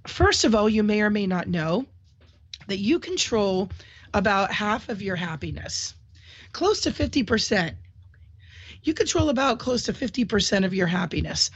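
A woman's voice: medium pitch, very animated, normal speed, slightly rough, no room sound. Cheery lady host talking solo with a bit of a cold, muffled dry audio. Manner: upbeat, chatty, encouraging. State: has a cold, clears throat often. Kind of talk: solo talk show monologue.

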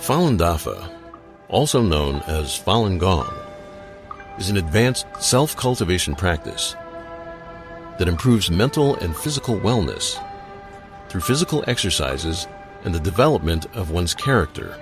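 A man's voice: low-pitched, sing-song, measured pace, music in the background. A man reading scripted documentary narration for an informational video.

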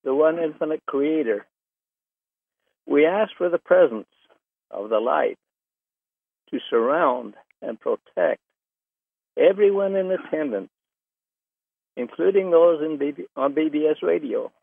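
A man leading a spoken invocation in a slow, solemn, measured way. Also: on the high side, lively intonation, creaky, breathy edge, dry recording. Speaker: invocation leader. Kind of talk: spoken prayer. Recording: dry tinny phone line.

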